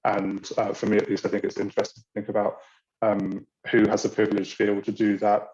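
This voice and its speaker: British guy doing an online orientation, low flat voice, lots of ums, kinda muffled zoom audio. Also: fast talker, background noise. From Britain. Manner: hesitant, many ums and uhs. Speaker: university international programs staff. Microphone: video call.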